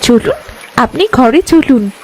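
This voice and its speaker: A woman playing a gentle, dignified young fairy in a cartoon fairytale, speaking earnestly and formally. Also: high-pitched, lively intonation, slow pace, slight background noise, exaggerated voice, low-quality recording. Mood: composed.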